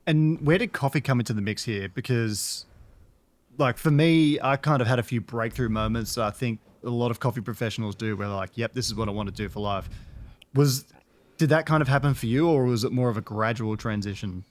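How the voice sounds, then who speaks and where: A man's voice: mid-range voice, brisk. Friendly, easygoing male podcast host. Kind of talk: casual interview chat.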